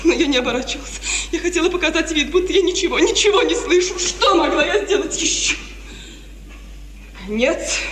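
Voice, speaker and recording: An actress playing a frightened, obedient young bride in a 1950s radio tragedy, speaking in a breathless, impassioned, tremulous way. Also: female voice, high voice, a bit bright. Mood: frightened, agitated. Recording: old echoey radio recording, hissy, long reverb.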